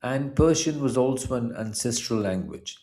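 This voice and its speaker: A middle-aged man from India with an Indian accent and Punjabi as his mother tongue, narrating a scripted personal essay in measured, formal phrasing. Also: mid-range voice, lively intonation, warm tone.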